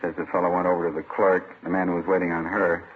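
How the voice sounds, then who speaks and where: Actor as a deep-voiced American ex-GI husband. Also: male voice, level tone, quick pace, natural voice. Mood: matter-of-fact. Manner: folksy, obliging, relays in plain phrases. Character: plain-spoken young American ex-soldier husband, interpreting for his wife. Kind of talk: old-time radio drama acting. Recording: muffled vintage radio with faint hiss.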